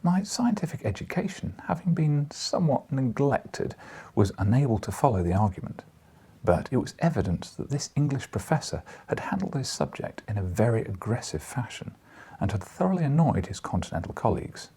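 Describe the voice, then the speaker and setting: A man reading a story aloud as an expressive audiobook narrator, with a husky voice. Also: medium pitch, a little fast. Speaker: audiobook reader. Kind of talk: expressive audiobook narration with brief casual asides.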